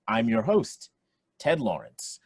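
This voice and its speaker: A man's voice: medium pitch, huge pitch swings, slow pace, darkish tone, slightly rough, no room sound, studio quality, full character voice. A man playing a young man who acts as a showy, emphatic quiz show host in a radio play. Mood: showy, upbeat. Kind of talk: radio play acting, game show emceeing.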